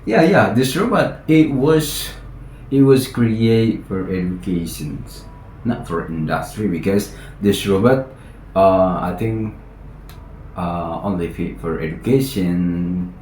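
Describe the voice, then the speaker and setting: A man from Indonesia with an Indonesian accent, a native Indonesian speaker, vlogging in English with halting speech full of fillers and restarts. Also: deep voice, a little mellow, slight background noise. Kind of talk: casual vlog, practising English. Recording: echoey room.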